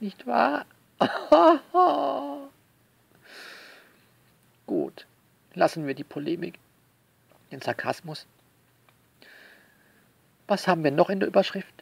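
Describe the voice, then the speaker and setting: A man speaks slowly in a fairly high, creaky voice, close and dry. He comments to his listeners with theatrical sarcasm and mock indignation, stretching key words for emphasis. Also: dry recording. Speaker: author commenting on their own novel. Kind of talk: sarcastic spoken essay addressed to listeners.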